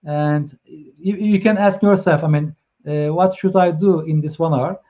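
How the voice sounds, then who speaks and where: A man's voice: fairly high pitch, steady tone, a little slow, mellow, muffled recording. A man from Turkey with a Turkish accent, hosting a live stream talk in English, hesitant with many fillers and restarts as he encourages his viewers. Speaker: host.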